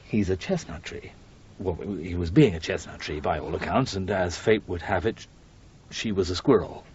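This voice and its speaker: An actor playing a harassed, evasive publishing editor in a radio drama, stammering and exasperated. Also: male voice, low voice, expressive, normal speed, slightly rough, full character voice. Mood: sheepish. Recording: muffled, noisy 1980s radio recording.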